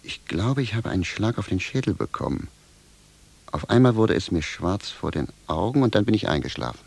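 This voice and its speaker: An actor playing a simple, bewildered and stubborn village cobbler in a radio drama, speaking bluntly and with a sense of grievance. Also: male voice, lowish voice, big pitch swings, brisk, vocal fry, darkish tone, husky, exaggerated voice. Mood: puzzled. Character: plain-spoken, bewildered, stubborn village cobbler, grumbling indignantly. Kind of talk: theatrical radio drama dialogue.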